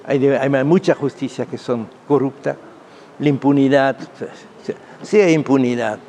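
Man, gravelly creak, interview guest, halting, emphatic, repetitive, faint background noise. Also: mid-range voice, warm tone. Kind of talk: spoken interview, explanatory opinion.

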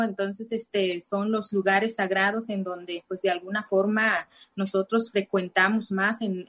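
A woman's voice: medium pitch, a bit bright. Hesitant woman speaking Spanish. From Nayarit, Mexico. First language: Cora (Náayeri). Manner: hesitant, many fillers and restarts. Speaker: interview guest. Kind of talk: personal testimony.

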